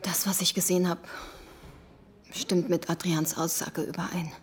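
Widow suspect for crime radio drama, female actor: low register, resigned, bitter, guarded and weary. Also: level tone, moderate pace, natural voice. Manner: weary, defensive. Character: resigned widow under police questioning, bitter, defensive.

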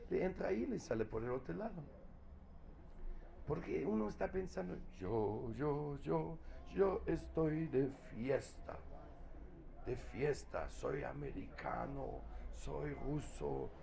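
Slow, emphatic male spiritual teacher. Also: a little high, creaky, dark tone. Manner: slow, emphatic, dramatizes quoted voices. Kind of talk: spiritual lecture.

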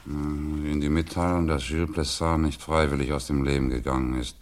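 An actor playing a gruff, irritable middle-aged police commissioner in a radio crime drama, curt and sardonic. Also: male voice, a bass voice, level tone, moderate pace, slight background noise. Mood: grudging.

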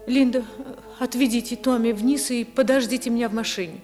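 Woman acting married lover, radio drama, high-pitched, theatrical, passionate, yearning, faint music. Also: fairly even intonation, brisk, darkish tone, close to an everyday voice. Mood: urgent.

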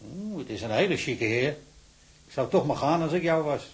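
A man's voice: on the high side, expressive, brisk, slightly rough, heavily acted. An actor playing a patient, fatherly prison warder in a radio drama, with a folksy, soothing manner. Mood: coaxing.

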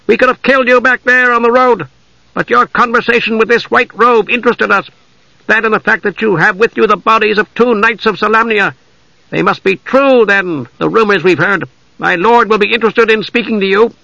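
A man's voice: high-pitched, a little fast, vocal fry, pushed and bright, no room sound, highs cut off, pitched above the performer's own voice, heavily acted. A man voicing a wary, haughty elven warrior in a fantasy audiobook, speaking in formal, accusing declamation. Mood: stern, accusing.

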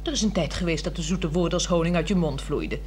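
An actress playing a bored, neglected, sharp-tongued middle-aged wife in a theatrical, teasing 1960s Dutch radio drama style. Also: female voice, on the low side, fast, close to an everyday voice. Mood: reproachful. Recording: noisy 1960s radio recording with hum.

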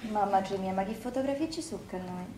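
An actress playing an anxious, tender Sicilian sister at a wake in a stage drama, with a Sicilian accent. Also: female voice, medium pitch, lively intonation, fast talker, echoey room, noisy background, close to an everyday voice. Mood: tender, nostalgic. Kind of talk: Sicilian dialect stage theatre acting.

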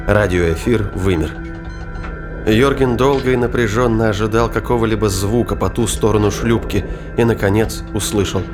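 Low-voiced male narrator over music. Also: normal speed. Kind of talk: dramatic scripted narration of science fiction thriller.

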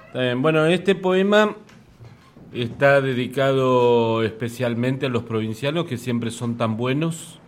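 A man's voice: mid-range voice, a little slow. An Argentine man giving a live poetry reading with casual introductions, speaking in a wry, ironic way. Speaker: poet reading own work. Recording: clearly noisy live room recording.

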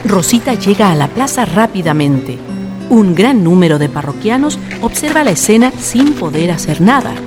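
A woman's voice: mid-range voice, very animated, normal speed. A woman narrating a scripted radio drama in a literary storytelling style. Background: guitar music.

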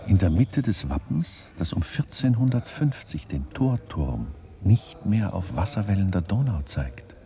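An actor playing a dry, official museum announcer, reading out signs and facts impersonally in a radio play. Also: male voice, rumbling low, dark tone, slight background noise, exaggerated voice.

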